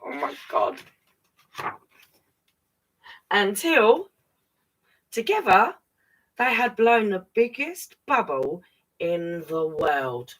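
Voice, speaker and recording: A woman's voice: medium pitch, very animated, takes it slowly, a bit bright, poor audio. A woman reading a children's picture book aloud expressively, with chatty asides straight to young listeners. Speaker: children's storyteller.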